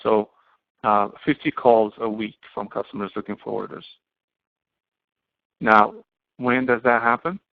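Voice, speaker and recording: Thin telephone-grade audio, dry room, male instructor, halting explanatory lecture, question-and-answer phrasing. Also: lowish voice, steady tone, vocal fry, no echo. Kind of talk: explanatory online lecture to students. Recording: muffled, band-limited, phone-like audio.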